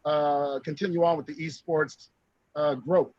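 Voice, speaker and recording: A man's voice: fairly high pitch, fairly even intonation, measured pace, dry recording. An American man speaking briefly as a guest panelist on an online conference. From United States. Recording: dull lo-fi video-call audio.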